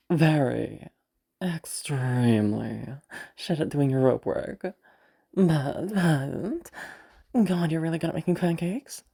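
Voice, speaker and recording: A woman playing a cold, wry captive in an intimate audio roleplay, musing in a deadpan, hesitant way. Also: deep voice, gravelly, slightly rough, voice pushed up, full character voice. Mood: wry, teasing.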